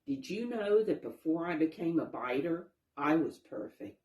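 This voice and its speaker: A woman's voice: deep voice, lively intonation, moderate pace, mellow. Woman voicing an emphatic young wolf, echoey room. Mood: defiant. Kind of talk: children's storybook read-aloud. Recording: roomy, echoing sound.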